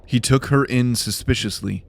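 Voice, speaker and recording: A man's voice: mid-range voice, normal speed, vocal fry. A man narrating fantasy fiction in a scripted, dramatic storytelling style. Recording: music behind the voice. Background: music.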